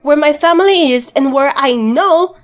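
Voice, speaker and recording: Brief: a high-pitched young woman as a stubborn, defiant heroine. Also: normal speed. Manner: feisty and defiant. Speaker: lead character. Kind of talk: amateur audio drama.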